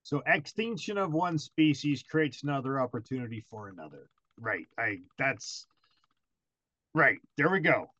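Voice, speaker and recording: A man's voice: medium pitch, lively intonation, unhurried, bright, forceful tone, muffled recording. An American man hosting an interview, rambling and hesitant with many fillers and restarts. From United States. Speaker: interviewer host. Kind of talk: casual interview chat.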